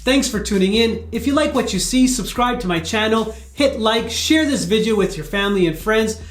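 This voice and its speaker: A man's voice: sits high, animated, normal speed. A man in his thirties delivering scripted commentary as a video channel host. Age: 30s. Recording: roomy echo with music behind. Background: background music.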